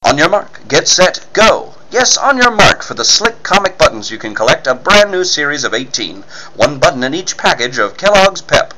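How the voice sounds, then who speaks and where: A man's voice: a little high, sing-song, moderate pace, few pauses, music in the background, rough recording. A man reading scripted narration and ad copy as an emphatic, punchy 1940s-style radio announcer. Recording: faint background noise.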